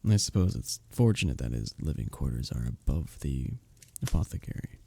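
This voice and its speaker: A man playing a gentle, earnest village innkeeper in a medieval ASMR roleplay, speaking softly and intimately in formal, old-world phrasing. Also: rumbling low, sing-song, moderate pace, gravelly, a little hiss or hum, heavily acted. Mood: flattered, fond. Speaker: audio roleplay performer. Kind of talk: ASMR roleplay, intimate one-sided conversation.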